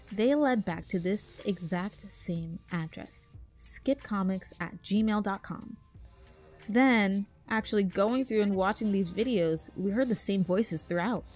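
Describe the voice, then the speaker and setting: A woman's voice: medium pitch, a little mellow. Woman narrating a critical video essay, music behind.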